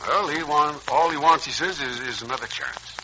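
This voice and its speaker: Kindly old uncle, scratchy radio. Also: male voice, fairly high pitch, moderate pace, bright, forceful tone. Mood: reluctant, thoughtful. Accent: American. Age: older. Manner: folksy, earnest, avuncular. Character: kindly, modest, philosophical old family uncle. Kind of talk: 1940s radio serial acting. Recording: noisy, scratchy 1940s radio recording.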